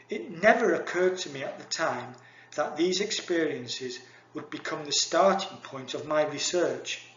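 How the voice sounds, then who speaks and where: A man giving a measured, formal university lecture read from a script. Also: fairly high pitch, a bit bright, slight background noise. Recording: echoey dull lo-fi recording.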